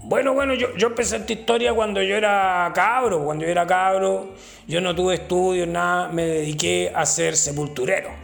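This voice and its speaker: A man's voice: high-pitched, steady tone, moderate pace, vocal fry, fairly bright tone, faint music behind. An actor playing a rascally old Chilean working man and former gravedigger, tipsy, with a Chilean accent, telling folksy slangy boasts. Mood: boastful. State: tipsy from drinking. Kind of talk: comic character interview.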